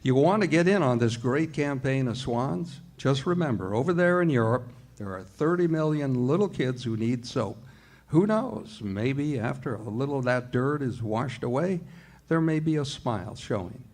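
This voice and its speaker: A man's voice: medium pitch, expressive, normal speed, darkish tone. A man announcing in 1940s radio style, reading a scripted appeal in an earnest, persuasive manner.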